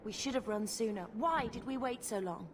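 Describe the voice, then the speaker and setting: Higher-pitched, anxious young sister played by an actress. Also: female voice, normal speed, slight background noise, close to an everyday voice. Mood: worried. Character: earnest, anxious young sister, fantasy refugee. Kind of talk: video game cutscene acting.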